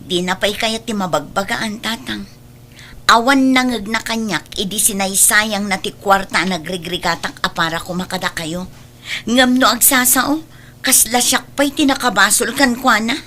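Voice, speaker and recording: A woman's voice: mid-range voice, moderate pace, bright, forceful tone, a little hiss or hum, close to an everyday voice. A woman with an Ilocano Filipino accent playing a dutiful, long-suffering adult daughter in an audio drama, earnest and pleading. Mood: weary.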